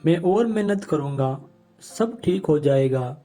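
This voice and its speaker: A man's voice: on the high side, expressive, slow pace, dark tone. A man voicing a humble, earnest poor village father in a cartoon moral story. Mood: hopeful. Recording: music bed under the voice.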